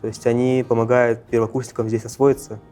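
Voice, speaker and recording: A man in his late teens speaks casually in an interview with a mellow, soft-edged tone. He halts and corrects himself as he goes. Faint music sits behind him. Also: mid-range voice, level tone. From Russia, Yakutia. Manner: halting, self-correcting. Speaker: first-year university student. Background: faint background music.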